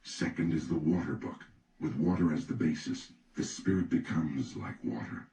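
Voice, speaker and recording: A man reading an old treatise as an audiobook narrator, in a measured, solemn, declarative manner. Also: rumbling low, creaky, mellow. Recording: roomy, distant mic with faint noise.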